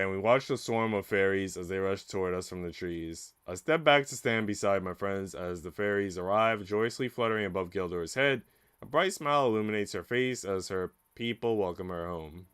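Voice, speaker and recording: A man's voice: lowish voice, a bit bright, clean tone, dry recording, studio quality. A man streaming, reading a visual novel aloud and chatting casually with his audience. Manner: informal, slangy when chatting.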